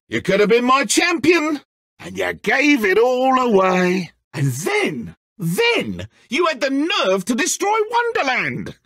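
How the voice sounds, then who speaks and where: An actor playing a petulant, theatrical godlike video game villain, grandiose and mock-friendly with a sulky streak and a raspy edge. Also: male voice, fairly high pitch, a bit bright, no room sound, studio quality, full character voice. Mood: menacing, bitter. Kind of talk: theatrical game character acting.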